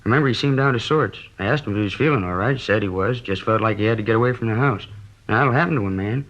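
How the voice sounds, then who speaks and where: An American actor playing a chatty neighborhood grocer in an old radio drama, folksy, rambling and hedging. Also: male voice, on the low side, racing, fairly bright tone. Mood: confiding. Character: chatty, gossipy but discreet male neighborhood grocer. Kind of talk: 1950s radio drama acting. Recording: dull old radio recording.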